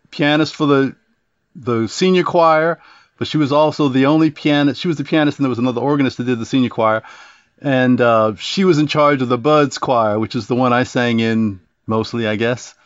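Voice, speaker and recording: A man from Virginia who plays cello in an orchestra, reminiscing in a relaxed way in an interview. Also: mid-range voice, moderate pace, no room sound, muffled recording. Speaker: orchestra cellist guest. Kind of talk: interview storytelling.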